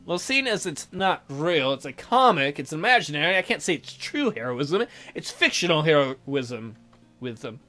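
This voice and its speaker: A man's voice: fairly high pitch, very animated, normal speed, sharp tone. A man reading a visual novel aloud as a let's player, adding sardonic asides.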